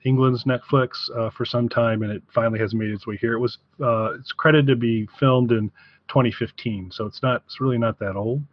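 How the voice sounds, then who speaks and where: A man co-hosting a casual review podcast, talking haltingly as he repeats and rephrases his way through each point. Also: medium pitch, steady tone, slight background noise. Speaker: podcast co-host. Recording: dry, slightly dull podcast audio.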